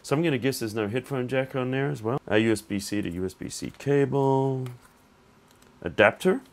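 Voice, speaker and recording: A man with a slightly hoarse voice hosting a casual unboxing vlog, thinking aloud in an informal, conversational way. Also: mid-range voice, moderate pace. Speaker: tech reviewer and channel host.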